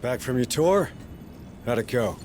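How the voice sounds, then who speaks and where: A man playing a gruff, guarded Marine sergeant in a video game, speaking tersely and firmly. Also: medium pitch, animated, measured pace, a little mellow, lightly acted. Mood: businesslike. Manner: terse, firm.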